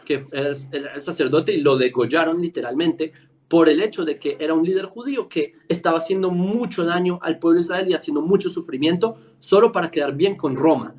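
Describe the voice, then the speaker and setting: A man's voice: on the high side, a little fast, darkish tone. A man with a Colombian accent explaining history in an informal debate, emphatic and argumentative, often checking in with short tag questions.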